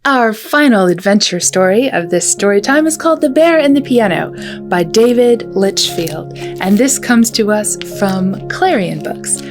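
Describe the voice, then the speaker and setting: A woman presents a storytime for children. She reads picture books aloud as a storyteller at a middling pitch, with music clearly heard behind her voice. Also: animated, unhurried. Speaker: children's librarian hosting storytime.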